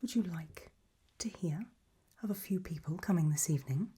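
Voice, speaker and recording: A woman playing an elegant, macabre aristocratic lady in a whispered ASMR roleplay, breathy, languid and serenely gracious. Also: fairly low pitch, warm tone, slight background noise, natural voice. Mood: gracious, darkly pleased. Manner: slow, languid, genteel.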